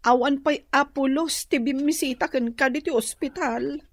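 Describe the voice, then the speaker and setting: A woman acting as a brisk, excitable wife with a high voice. She has an Ilocano accent and sounds close and dry, in radio drama style. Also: no echo. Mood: dismissive.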